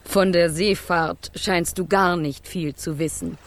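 A woman's voice: on the low side, steady tone, takes it slowly. A woman playing a feisty, scornful would-be ship's stoker in a children's radio play, blunt and grumbling, with dramatic exclamations. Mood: warming up.

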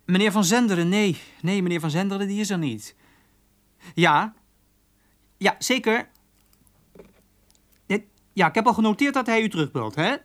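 Cheeky high-voiced young office lad. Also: male voice, expressive, normal speed, pauses often. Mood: eager, chatty. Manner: hesitant, chatty, cheeky. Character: cheeky eager young male office errand boy named Jeroen. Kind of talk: radio drama acting.

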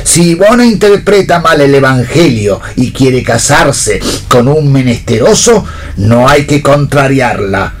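A man's voice: mid-range voice, lively intonation, a little slow, slight background noise. A man acting a pompous, indignant, privileged father in a radio play, speaking in an emphatic, oratorical way. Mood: pompous, scornful. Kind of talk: read theatre for radio.

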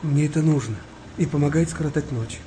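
An actor playing a kind, weary wartime sailor nearing fifty in a radio play, speaking gently and reflectively with a wry touch. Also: male voice, on the high side, a little fast, a little hiss or hum, close to an everyday voice. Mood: gentle, wry. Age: middle-aged. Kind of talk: naturalistic radio play dialogue.

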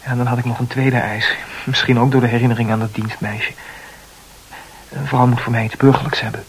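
An actor playing a self-absorbed, intense man in a Dutch radio play, talkative and self-analysing, with halting repetitions. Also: mid-range voice, flat delivery, slight background noise, natural voice, rough recording. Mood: confessional.

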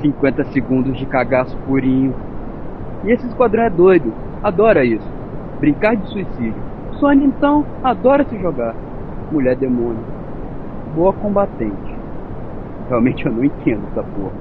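A man's voice: medium pitch, sing-song, normal speed, phone-quality sound, close to an everyday voice. A man with a Brazilian accent playing a cynical, nervous, foul-mouthed soldier who narrates an audio drama in the first person. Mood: grudging disbelief. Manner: sardonic, colloquial, swearing. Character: cynical, nervous, foul-mouthed male soldier piloting giant war machine. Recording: clearly noisy, engine and outdoor ambience.

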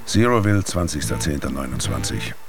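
An actor playing a secretive agent in a radio drama, dictating a terse, detached report in clipped phrases. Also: male voice, a bass voice, very animated, brisk, vocal fry, faint music behind, full character voice. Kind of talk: scripted radio drama narration.

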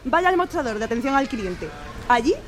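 Woman acting a high, lilting supermarket cashier. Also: sing-song, a little fast, slight background noise. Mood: polite, scripted. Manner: formulaic customer-service politeness. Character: chirpy, scripted female supermarket cashier. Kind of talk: radio play acting.

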